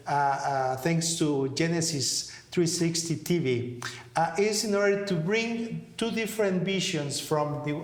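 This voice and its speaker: A middle-aged man with a Mexican Spanish accent, co-hosting an interview show and speaking earnestly while searching for words in his second language. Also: on the high side. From Mexico City. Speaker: co-host. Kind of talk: conversational interview talk. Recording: echoey room.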